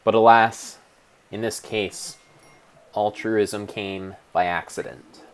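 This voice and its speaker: A man's voice: on the low side, steady tone, takes it slowly, clean tone, poor audio. A man narrating a video game like a dramatic storybook, solemn and theatrical, drawing out words for mock-epic effect. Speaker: streamer narrating own gameplay.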